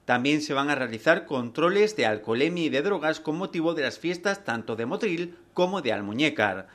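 A man news anchor reading scripted news in a steady, formal way. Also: a little high, a little fast.

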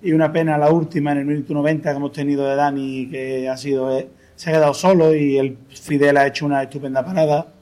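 A man, a football coach, calmly breaking down a match in a post-game interview. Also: on the high side. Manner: measured, analytical, with restarts.

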